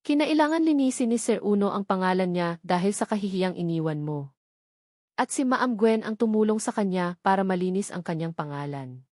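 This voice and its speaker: A woman playing a kindly female household helper in an audiobook, gently explaining, with a Filipino accent. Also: medium pitch, expressive, normal speed, dry recording, studio quality, natural voice. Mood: sympathetic, explaining. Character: sympathetic older-sister household helper, woman.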